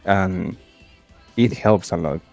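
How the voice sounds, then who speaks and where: A shy, soft-spoken man with a strong non-native accent, answering interview questions in simple, halting phrases. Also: deep voice, gravelly, faint music behind. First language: not English. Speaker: guest, game content creator.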